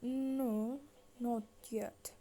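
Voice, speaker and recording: Woman as a slow-spoken, humble young castaway. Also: on the high side, fairly even intonation, warm tone. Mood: humble, sincere. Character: humble, polite young castaway man, modest and grateful. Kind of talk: children's puppet-show storytelling.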